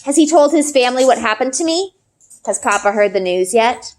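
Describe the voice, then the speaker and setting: Female read-aloud narrator for children's fiction. Higher register, highly varied intonation, expressive storytelling. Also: brisk.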